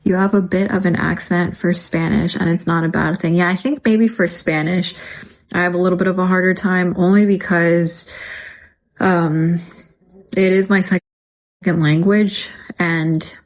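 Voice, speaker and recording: A woman's voice: on the low side, fairly even intonation, measured pace, low-quality recording. Chatty New woman vlogger. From New York. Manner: chatty, many fillers, thanks viewers by name. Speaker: livestream vlogger. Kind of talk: casual livestream chat.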